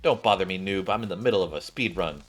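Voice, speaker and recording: Man voicing a brash, bright-toned gamer girl. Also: sits low, quick pace, soft background music. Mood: teasing. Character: brash excitable young female gamer, teasing and opinionated.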